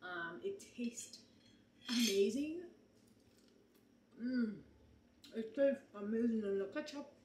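A woman in her twenties vlogging casually at home, talking to viewers with frequent laughs and animated asides, filmed on a handheld camera. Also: on the high side, slow pace, pauses often, reverberant, slight background noise. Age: 20s. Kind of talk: casual vlog chat. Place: small apartment kitchen and bedroom indoors. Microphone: handheld camera at arm's length.

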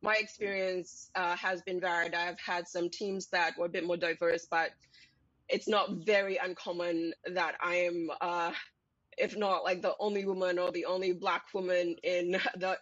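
Flat-voiced woman on a dull call line. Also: on the low side, dry recording. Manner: halting, laughs often. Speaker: panelist, data engineer. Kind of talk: casual panel talk. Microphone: video call. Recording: dull dry video call audio.

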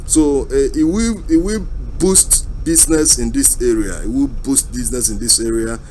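A man with a Nigerian accent giving unscripted road-trip commentary as a vlog host, haltingly, searching for words with many uhs. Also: medium pitch, faint music behind, rough recording. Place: inside a car driving through town. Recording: steady vehicle and road noise. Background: vehicle and street noise.

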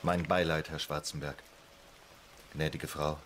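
An actor playing a courteous, troubled psychoanalyst with a formal, measured way of talking in a radio play. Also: male voice, very deep voice, little pitch movement, a bit bright, slight background noise. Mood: subdued, puzzled. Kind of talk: German radio drama acting.

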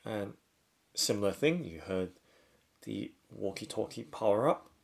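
A man with a low, creaky voice reviews a product hands-on. He talks very slowly and casually, going step by step in short, halting phrases. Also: expressive. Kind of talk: casual unboxing and hands-on demonstration.

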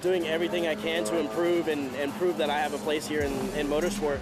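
A man's voice: fairly high pitch, little pitch movement, fast talker. Enthusiastic twenties male race driver, interview answers, music under the voice. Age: 20s. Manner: upbeat, enthusiastic. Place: outdoors in a race paddock garage. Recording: loud music bed underneath. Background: loud background music.

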